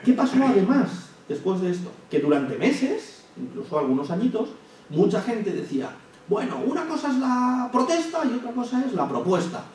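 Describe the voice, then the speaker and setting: A man gives a public talk in a measured way, often restarting his sentences. His voice sits a little high, and it was picked up from well back in a very echoey hall. A faint hiss runs underneath. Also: very animated, a little mellow, distant mic. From Spain. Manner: measured, thinking aloud with many restarts and hesitations. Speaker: lecturer. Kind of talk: public lecture. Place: lecture hall.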